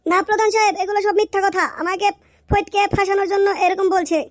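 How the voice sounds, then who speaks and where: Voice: extremely high pitch, little pitch movement, quick pace, no room sound, clean studio sound. A performer voicing a cowardly young village man, whining and begging in an exaggerated comic Bengali drama style. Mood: denying.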